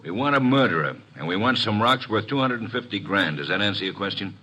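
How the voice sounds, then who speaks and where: An actor playing a gruff, sarcastic, bullying police inspector in old radio detective drama, brusque and commanding. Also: male voice, fairly low pitch, animated, fast, bright, forceful tone, exaggerated voice, rough recording. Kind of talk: 1940s-50s radio detective acting.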